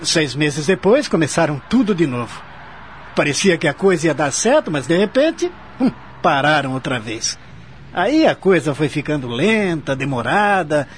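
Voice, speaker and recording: A man acting as an uneasy, evasive former office colleague in a radio play. His voice is on the high side and lively in pitch, with halting, hesitant phrasing. The recording carries faint noise.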